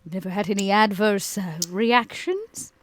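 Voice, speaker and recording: Female player, slow and measured, animated pitch, dry sound. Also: dry recording. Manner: measured, probing questions. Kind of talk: in-character roleplay.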